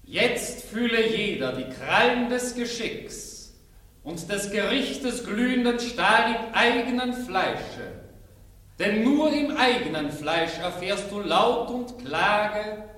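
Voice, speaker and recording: An actor playing a solemn angel presiding over a heavenly tribunal, declaiming ceremonially in an old radio drama. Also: male voice, high-pitched, sharp tone, exaggerated voice. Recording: very echoey cavernous hall, distant voice.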